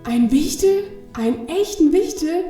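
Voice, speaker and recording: A woman's voice: very high voice, animated, unhurried, warm tone, reverberant, faint music behind. A woman playing a curious, plucky six-year-old girl with an eager, exclaiming manner in a children's radio play. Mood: curious, amazed.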